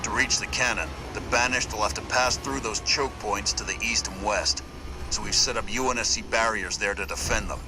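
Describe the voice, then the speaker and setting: A man's voice: fairly even intonation, moderate pace, lightly acted. A man playing a confident supersoldier squad leader in a video game, with a terse, determined military delivery. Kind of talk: video game cutscene acting. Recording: loud music and vehicle rumble behind.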